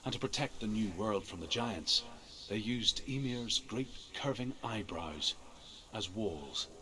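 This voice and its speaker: A man playing a solemn storyteller of old myths in a game, with measured, emphatic delivery. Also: fairly low pitch, lively intonation, bright, forceful tone, low-quality recording. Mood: solemn, dramatic. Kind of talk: dramatic myth storytelling in a game.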